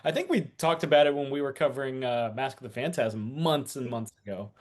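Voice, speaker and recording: A man's voice: mid-range voice, animated, a little fast. A man co-hosting a podcast in casual chat, with loose, rambling asides. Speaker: podcast co-host.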